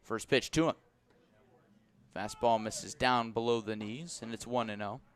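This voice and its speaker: A man calling live baseball play-by-play for the radio in a measured, descriptive way. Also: mid-range voice, fairly even intonation, noisy background. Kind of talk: live radio sports commentary.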